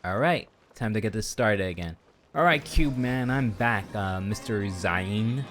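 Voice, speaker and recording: A man's voice: lowish voice, animated, unhurried, fairly bright tone. Man muttering casual gameplay commentary over music. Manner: mutters and exclaims to himself while playing. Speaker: game streamer. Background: game music.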